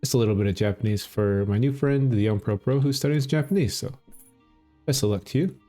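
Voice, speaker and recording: A middle-aged man with a Minnesota accent, explaining a game in a relaxed, easygoing way. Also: lowish voice, fairly quick, soft background music. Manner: relaxed, explanatory, chuckles often. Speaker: gaming channel host. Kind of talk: casual gameplay commentary.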